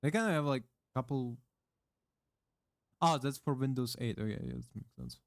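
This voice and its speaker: A man streaming from his home setup, reading a product manual aloud haltingly into a close condenser microphone, with many fillers and offhand swearing. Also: mid-range voice, wildly swooping pitch, creaky, dry recording. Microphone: condenser microphone with pop filter, close.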